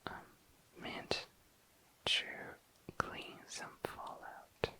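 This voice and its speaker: An ASMR host speaking in a breathy, unvoiced whisper, softly commenting on a craft and soothingly repeating words. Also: drawn-out words. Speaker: ASMR video creator, host. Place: indoors at a desk.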